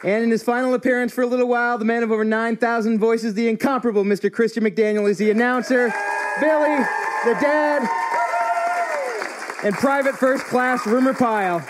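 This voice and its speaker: A very high-voiced man delivers an announcer's closing credits at live radio theatre. A clearly audible audience and venue noise sit behind him. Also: steady tone, fairly quick, a loud crowd. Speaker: cast member reading closing credits.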